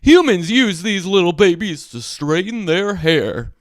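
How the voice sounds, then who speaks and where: Man playing cocksure cartoon seagull, high bouncy pitch, emphatic know-it-all explanations, storybook read-aloud. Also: lively intonation, unhurried, no echo, studio quality. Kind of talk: storybook character voice.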